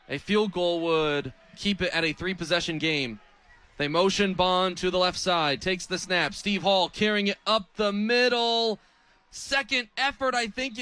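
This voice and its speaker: Young american guy doing football play by play on radio, high pitched and really forceful voice. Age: 20s.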